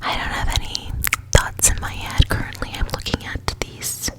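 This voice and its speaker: A woman hosting an ASMR podcast in a breathy whisper, speaking slowly and soothingly close to the microphone, with repeated words. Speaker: podcast host. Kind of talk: whispered ASMR with guided meditation and rambling. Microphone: close sensitive ASMR microphone.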